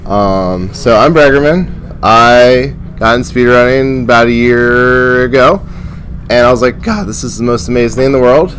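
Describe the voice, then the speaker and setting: A man presenting an informal convention panel in a playful, exclamatory way with a loud voice. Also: medium pitch, moderate pace. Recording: live room with crowd noise. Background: audience murmur and room noise.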